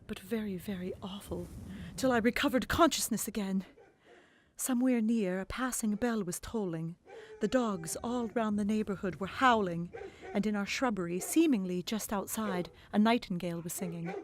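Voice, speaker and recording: A woman narrating a gothic novel in the first person, expressive and dramatic, dropping to whispers in the hushed passages. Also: mid-range voice, a little hiss or hum.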